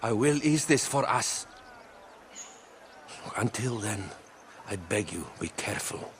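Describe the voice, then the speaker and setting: An actor playing an apologetic, cautious go-between for rulers, placating and measured, in a game cutscene. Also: male voice, fairly low pitch, animated, noisy background. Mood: regretful, pleading. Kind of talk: dramatic game cutscene acting.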